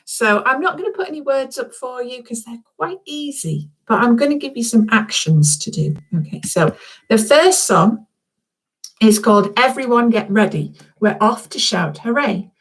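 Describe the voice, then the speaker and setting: Woman kids' author chatting to schoolchildren on a live video, highish voice, friendly, lots of little check-in questions. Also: animated, moderate pace, low-quality recording. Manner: friendly, encouraging way of addressing young children. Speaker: children's author and storyteller. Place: room at home.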